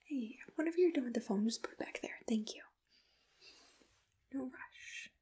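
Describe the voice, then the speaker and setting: A woman whispering breathily in a soft ASMR roleplay, with a gentle, reassuring, attentive manner, as if giving personal attention to a client. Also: fairly high pitch, moderate pace, slightly rough. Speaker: ASMR roleplay host as a monster matchmaker.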